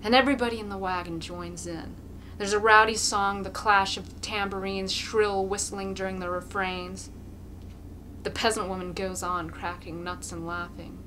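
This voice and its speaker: A woman's voice: mid-range voice, fairly even intonation, normal speed, pushed and bright, slight background noise, low-quality recording. A woman amateur reader giving an intense, theatrical narration of a classic novel.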